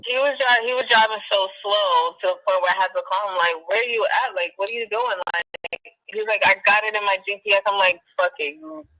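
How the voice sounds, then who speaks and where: A woman's voice: mid-range voice, brisk, bright, forceful tone, no echo, low-quality recording. Exasperated woman on a thin call recording. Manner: exasperated, repeats herself for emphasis. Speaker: caller telling her personal story. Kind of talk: casual conversational storytelling.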